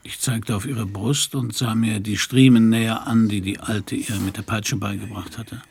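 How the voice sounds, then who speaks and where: An actor playing a hard-boiled, middle-aged private detective in a radio crime play, dry, laconic and slangy. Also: male voice, on the low side, steady tone, moderate pace, gravelly, a little mellow, husky. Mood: sardonic narrating. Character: hard-boiled, sardonic male Parisian private detective narrator. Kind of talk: noir radio play narration and dialogue. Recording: radio play, some background noise.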